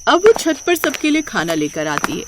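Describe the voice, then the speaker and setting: Animated woman storyteller with lively pitch. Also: normal speed, noisy background. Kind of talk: scripted narration of a moral story.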